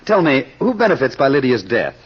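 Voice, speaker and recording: An actor playing a brisk, inquisitive male sleuth in an old radio mystery, with an articulate way of speaking. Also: medium pitch, sing-song, husky. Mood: brisk inquiry. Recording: muffled old radio recording.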